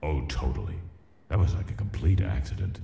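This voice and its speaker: A man's voice: big pitch swings, a little fast, background noise, full character voice. A man playing God as a laid-back, self-absorbed gamer, talking in casual slang in a cartoon video game. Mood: sheepish. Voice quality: very deep, gravelly. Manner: slangy, casual slacker talk. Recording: roomy echo with music behind.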